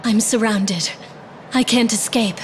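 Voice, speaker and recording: Wary young witch, rather high-voiced, played by a woman. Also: a little slow, slight background noise, natural voice. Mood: alarmed. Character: hunted young witch, king's secret daughter, wary. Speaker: voice actor in a fantasy video game. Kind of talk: dramatic game dub acting.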